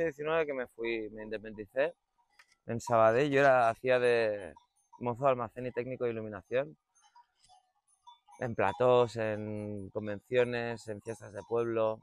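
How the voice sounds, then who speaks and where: A man's voice: mid-range voice, clear, resonant tone, no echo. A man from Barcelona with a Catalonia Spanish accent, a shepherd talking casually about his own life in an interview. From Barcelona, Spain. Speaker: shepherd, livestock farmer. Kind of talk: casual interview answers, personal storytelling.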